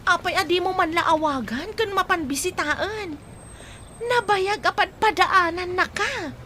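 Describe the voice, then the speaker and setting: A woman's voice: very high voice, unhurried, pushed and bright, a little hiss or hum, exaggerated voice. An actress playing a chatty, pushy young village woman with an Ilocano accent in a Filipino radio comedy-drama. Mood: surprised, reproachful.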